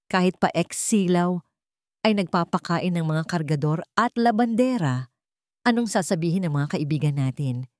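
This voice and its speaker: A woman's voice: medium pitch, darkish tone, dry recording, studio quality, lightly acted. A woman voicing a wealthy, domineering older mother in a story, cutting and scornful.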